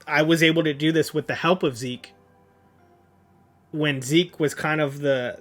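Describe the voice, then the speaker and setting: A man's voice: a little high, a bit bright. A young man streaming his reaction to a manga, rambling casually with lots of fillers. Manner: rambling, filler-heavy, restarts.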